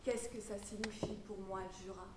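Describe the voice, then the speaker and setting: A woman acting a theatrical monologue as a troubled young mother, wounded and defiant, swinging between muttered confiding and shouted outrage. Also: mid-range voice, steady tone, moderate pace, far from the mic, close to an everyday voice. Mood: wounded, brooding. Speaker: drama student performing a monologue. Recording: roomy echo with background noise.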